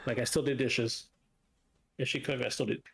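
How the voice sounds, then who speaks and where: A man's voice: medium pitch, steady tone, normal speed, creaky, fairly bright tone, breathy edge. A man co-hosting a podcast in casual, rambling chat, teasing and drifting into asides. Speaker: podcast co-host. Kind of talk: casual chat with live chat banter.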